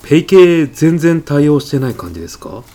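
A man's voice: on the high side, very animated, brisk, dark tone. A man playing a pushy, eccentric, absurdly self-assured supermarket customer in a comedy radio drama, arguing like an insistent salesman. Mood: puzzled, insistent. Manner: insistent, indignant salesman-like patter. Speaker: podcast actor.

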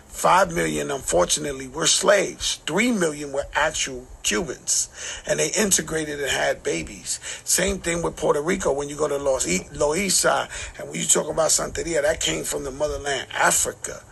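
A man's voice: a little high, normal speed, creaky, fairly bright tone, slightly rough, rough recording. A man in a played clip, speaking emphatically and often repeating "know what I'm saying" as he makes his points. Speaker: interviewed guest in a clip. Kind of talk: emphatic opinion monologue.

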